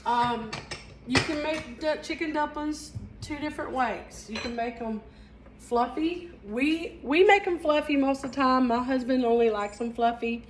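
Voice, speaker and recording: A woman's voice: sits high, moderate pace, slight background noise. A middle-aged woman from the mountains of Southeast Kentucky with an Appalachian accent, chatting folksily while hosting a live cooking stream in her home kitchen. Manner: folksy, chatty, says y'all. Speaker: cooking show host.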